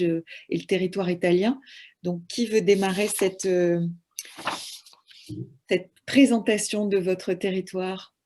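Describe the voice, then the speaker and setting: A woman with an ordinary mid-range voice leads an online round table. She pauses often with fillers as she introduces the panel. The sound comes through a video call. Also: medium pitch, mellow. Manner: hesitant, frequent fillers.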